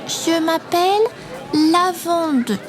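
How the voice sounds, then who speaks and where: A woman's voice: extremely high pitch, lively intonation, very slow, music in the background, exaggerated voice. An actress in an audio drama playing a tiny, shy three-year-old girl with a hesitant, childlike way of talking. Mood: shy, sweet.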